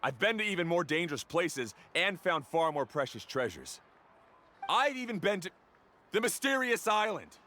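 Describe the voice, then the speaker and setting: A boastful, bright-voiced young rival. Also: male voice, sits high, sing-song, moderate pace, slight background noise, exaggerated voice. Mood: indignant. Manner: boastful and mocking. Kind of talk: game voice acting.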